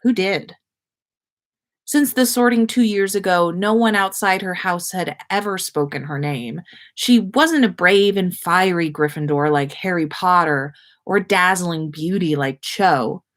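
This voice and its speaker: A woman narrating a written story aloud in an expressive, measured storybook manner. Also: fairly low pitch. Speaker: podcast host reading listener fan fiction.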